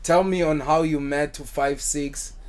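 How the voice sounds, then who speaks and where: A man with a South African accent hosting a casual podcast, relaxed, often repeating words and dropping in isiXhosa phrases. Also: fairly high pitch, slow, clear, resonant tone. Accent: South African English. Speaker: podcast host.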